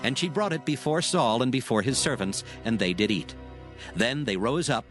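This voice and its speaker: Animated male scripture narrator. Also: mid-range voice, moderate pace. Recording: music under the voice.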